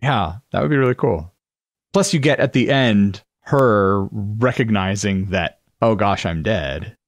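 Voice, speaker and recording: A man's voice: lowish voice, measured pace, dry recording. A man co-hosting a podcast, talking casually and reflectively as he works through ideas, often tentatively. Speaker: podcast co-host. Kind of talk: casual brainstorming discussion.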